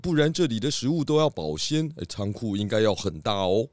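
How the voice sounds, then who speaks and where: A man's voice: lowish voice, big pitch swings, fairly quick, darkish tone, dry recording, clean studio sound. A man playing an inquisitive, decisive pig detective who muses and questions aloud in a children's audio drama. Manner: musing, questioning, hesitating aloud.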